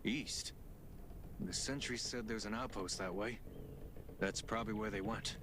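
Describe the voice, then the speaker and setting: Game ally: man as cautious, practical guard, lowish pitch, noisy ambience. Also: measured pace, close to an everyday voice. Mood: wary. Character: wary, practical male settlement defender. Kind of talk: video game dialogue.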